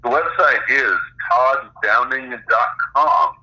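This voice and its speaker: A man being interviewed as an author, explaining things conversationally with halting pauses over a dull, band-limited line. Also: mid-range voice, noisy background.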